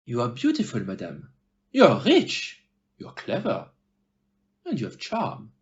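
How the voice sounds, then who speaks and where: A man performs a shrewd, courteous detective in an audio drama. His speech is formal and pointed, with a lively, swinging melody. The recording is a little dull and muffled. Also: mid-range voice. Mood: pointed, reproving. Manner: formal, measured, pointed phrasing. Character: polite, shrewd, blunt male Belgian detective. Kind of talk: amateur audio play acting.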